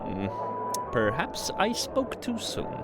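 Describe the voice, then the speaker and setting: A man's voice: high-pitched, huge pitch swings, slow, loud music behind the voice, exaggerated voice. A man playing a sly, teasing trickster god in an audio drama, speaking with mock courtesy and formal, mischievous phrasing. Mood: smooth, courtly.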